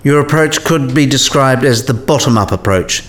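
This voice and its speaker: Male actor as learned professor, medium pitch, patient, didactic, precise, radio play dialogue. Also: normal speed, close to an everyday voice. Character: learned professor patiently explaining to a younger colleague.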